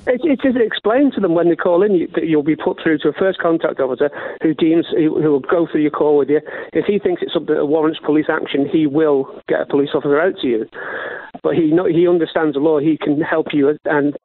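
A man with a fairly high voice speaks over a narrow, tinny phone line. He is a caller to a radio phone-in, explaining things in an earnest, insistent, conversational way. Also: a little fast, dry recording. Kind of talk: conversational explaining, defending a point.

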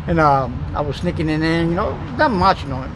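A man in his sixties talks outdoors on a city sidewalk, close to the camera. His voice sits a bit high for a man, and he rambles, with "you know" after almost every thought. Street and traffic noise run clearly behind him. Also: a little fast. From Cuba, raised in Spain. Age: 60s. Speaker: interviewee. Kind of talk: street interview.

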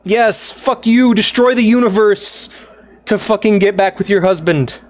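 A man streaming a story game, reading lines aloud and reacting with loud, profane excitement. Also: high voice, measured pace. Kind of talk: gaming livestream, reading dialogue aloud.

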